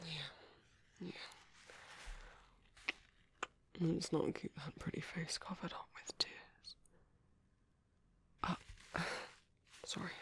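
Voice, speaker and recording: Man playing a husky, whispery British vampire priest. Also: on the high side, full character voice. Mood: soothing, protective, then flustered, bashful. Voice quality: soft, breathy, husky whispering. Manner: hesitant, flustered, tender, formal wording. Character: gentle, shy British male vampire priest, protective caretaker. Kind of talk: soft intimate ASMR roleplay.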